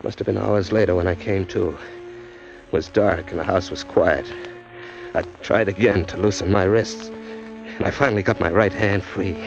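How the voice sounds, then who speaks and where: A wisecracking hard-boiled drifter narrating a radio play; deep voice, dull vintage sound. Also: male voice, animated, moderate pace, loud music behind the voice. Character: tough young male drifter, wisecracking, smitten. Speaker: narrator and lead. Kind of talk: 1940s radio acting. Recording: muffled 1940s radio broadcast.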